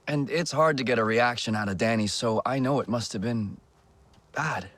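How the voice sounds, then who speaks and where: A man's voice: medium pitch, normal speed, dry recording, natural voice. An actor playing a friendly, talkative male construction worker in a video game. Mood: candid. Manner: chatty, enthusiastic, animated. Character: friendly male construction worker, chatty and warm about wood. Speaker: builder who left the corporation.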